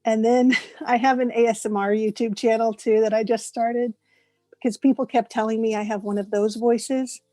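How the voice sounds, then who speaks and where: A chatty woman in her late forties, voice a touch high and mellow, talking warmly and laughing often as a guest. The recording is dry and close, with faint music behind her. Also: steady tone, moderate pace, no echo. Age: 40s. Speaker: guest voice actress. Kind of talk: casual interview chat.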